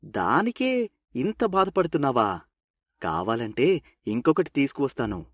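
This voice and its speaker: A man acts a young husband in a cartoon family drama. His voice is mid-pitched, and he is concerned and protective toward his wife. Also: big pitch swings, unhurried. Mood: concerned, soothing.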